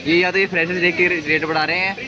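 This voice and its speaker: A young college student vlogging with friends on campus, joking and talking to viewers, with a slightly sore throat. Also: male voice, high-pitched, flat delivery, fast, a crowd in the background. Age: young adult. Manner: joking, playful, addresses viewers. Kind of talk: casual vlog banter with friends. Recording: noisy outdoor camera audio.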